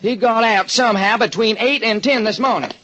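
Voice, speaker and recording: An actor playing a police lieutenant who talks loudly and emphatically in a raised voice. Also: male voice, a little fast, slight background noise. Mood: worried. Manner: loud, emphatic, high-pitched. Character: anxious police lieutenant, boastful then worried. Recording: dull lo-fi old radio broadcast.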